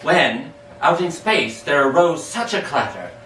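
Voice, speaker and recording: A man giving an expressive, dramatic recital of storytelling verse in a sing-song rhyming rhythm. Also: a little high, unhurried. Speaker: amateur poet reading their own poem. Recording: echoey room, distant mic, background hiss.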